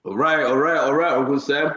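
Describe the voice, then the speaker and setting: Echoey, slightly muffled sound, Liberian man in his forties, fairly high voice, casual talk show host, repetitive emphatic phrasing. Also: fairly even intonation, fairly quick, a bit bright. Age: 40s.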